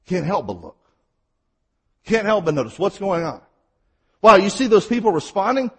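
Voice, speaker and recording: A man with a Mississippi accent preaching a sermon, telling stories and at times talking fast. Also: sits high, big pitch swings, a bit bright, husky. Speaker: pastor.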